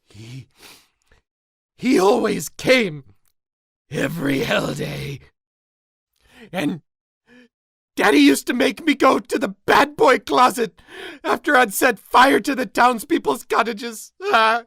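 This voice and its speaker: A woman's voice: fairly high pitch, lively intonation, slow, creaky, pauses often, a bit bright, breathy edge, no echo, clean studio sound, exaggerated voice. A woman playing an unhinged, hot-tempered mad scientist in a melodramatic, shrieking comedy radio style. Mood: traumatized, bitter. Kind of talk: over-the-top comedy radio acting.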